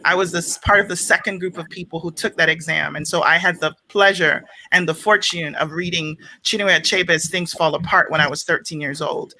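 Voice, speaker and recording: A middle-aged woman from Jamaica, speaking as a panelist on a video call with quick, animated storytelling. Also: on the low side, poor audio.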